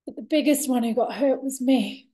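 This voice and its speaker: A woman in her thirties giving a candid, reflective personal monologue to camera, expressive, with deliberate pauses and dramatic emphasis. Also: high-pitched. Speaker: vlogger sharing a personal story. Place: bright indoor room with large windows. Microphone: laptop webcam at desk distance.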